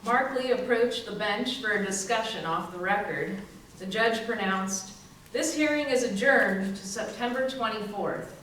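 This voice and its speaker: A woman portrays an ailing young worker, narrating in readers theater style. The medium-pitched voice is distant and very reverberant, with a little background hiss. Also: a little slow, a bit bright, natural voice. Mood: formal. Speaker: community theatre performer. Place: library readers theater performance, indoors. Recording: very echoey hall, distant, faint hiss.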